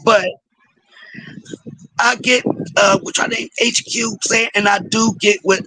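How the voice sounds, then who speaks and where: Play him as a hoarse man with a high, bright, strained voice, arguing on a live panel and preaching his point, checking in with listeners as he goes. A faint hiss of noise sits under the line. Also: steady tone, slow, poor audio. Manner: preachy, repeats "you understand?". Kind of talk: heated panel debate.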